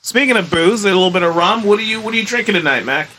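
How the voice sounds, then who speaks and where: A man's voice: sits high, lively intonation, fast talker, sharp tone, slight background noise, low-quality recording. An American man hosting a podcast in casual, bantering chat with friends. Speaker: podcast host.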